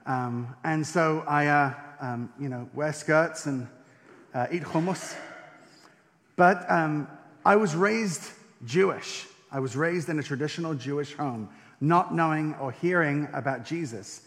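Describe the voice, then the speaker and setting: A man's voice: a little high, measured pace, a bit bright. A young man with an English accent telling his personal faith story to an audience, with wry self-deprecating humor and many ums. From England and France. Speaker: speaker giving a testimony. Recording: long reverb of a hall.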